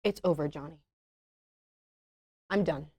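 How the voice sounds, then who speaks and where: Sardonic actress read by a woman, deep female register, sullen, for a comedy script read. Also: unhurried, a little mellow, husky. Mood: fed up. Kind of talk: table read of a comedy screenplay.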